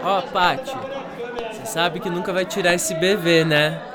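A man's voice: high-pitched, steady tone, a little slow, over a noisy crowd. A man playing a cheeky, teasing schoolboy in a radio drama, talking in casual slang. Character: Marquinho, cheeky teasing schoolboy, poor at maths. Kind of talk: scripted radio drama acting.

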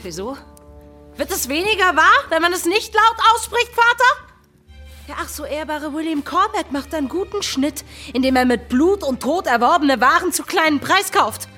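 High-voiced, fiery young daughter. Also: female voice, expressive, moderate pace, soft background music. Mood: accusing. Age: young adult. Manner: impassioned, swinging from hushed to crying out. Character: defiant, headstrong young merchant's daughter, fiery and proud. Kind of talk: German radio drama acting.

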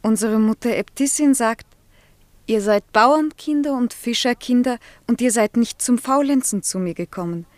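An actress playing a timid young nun of peasant birth in a radio play, earnest and lyrical. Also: female voice, a little high, normal speed, lightly acted. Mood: plaintive. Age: young adult. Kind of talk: German radio play acting. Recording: echoing, reverberant stone hall.

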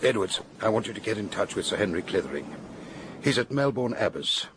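Actor as imperious older English gentleman. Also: fairly low pitch, expressive, fairly quick, noisy background, close to an everyday voice. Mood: commanding. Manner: assertive, blunt, formal. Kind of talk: radio drama acting.

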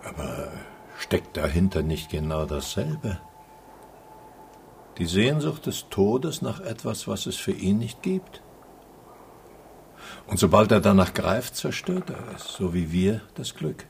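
An actor playing a pensive, melancholy film director in a German radio drama, musing earnestly. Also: male voice, deep voice, very animated, slow pace, vocal fry, long pauses, slight background noise, heavily acted. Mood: yearning.

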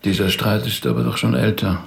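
An actor in a German radio drama playing a considerate, probing rabbi with a measured, polite manner. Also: male voice, lowish voice, sing-song, creaky, full character voice. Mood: probing, thoughtful.

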